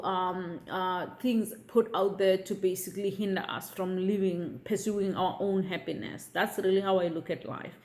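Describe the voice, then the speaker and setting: A middle-aged woman shares her opinions in a casual vlog. Her delivery is unhurried and deliberate, and she keeps pausing to check that her viewers are following her. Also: medium pitch, a little mellow. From South Africa. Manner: measured, repeats "okay?" to check in with viewers. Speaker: vlogger.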